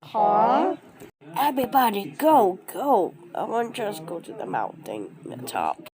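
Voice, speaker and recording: A woman voicing an excitable, bossy cartoon character in amateur animated dialogue. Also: mid-range voice, takes it slowly. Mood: bossy, urgent.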